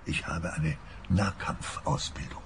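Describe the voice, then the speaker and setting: A man's voice: low-pitched, steady tone, unhurried, a little hiss or hum. An actor playing a paranoid, self-important old man in a radio play, speaking in a secretive, intense way. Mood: conspiratorial, grave. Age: older.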